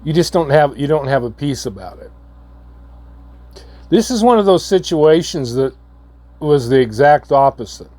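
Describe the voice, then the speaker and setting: A hoarse man, coming down with a cold, giving a halting, earnest update to his viewers. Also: a little high, expressive, dark tone, no room sound. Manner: halting, repeats words, slow and earnest. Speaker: channel host. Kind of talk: casual vlog update.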